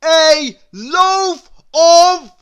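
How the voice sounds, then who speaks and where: A woman voicing a very noisy cartoon man who bellows his words in a slow, booming shout. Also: exaggerated voice. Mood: loud, demanding.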